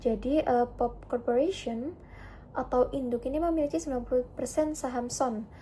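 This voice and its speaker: A young woman with a high-pitched but mellow voice. She explains academic material as a student presenter, slightly informal and prone to correcting herself. Faint noise sits in the background. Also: normal speed, rough recording. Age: young adult.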